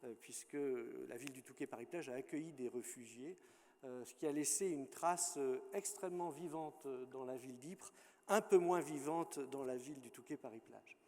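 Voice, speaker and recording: Hesitant conference chair, mellow voice. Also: male voice, medium pitch, normal speed, big-room sound. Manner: hesitant, many fillers. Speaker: session chair. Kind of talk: introducing a speaker at a conference.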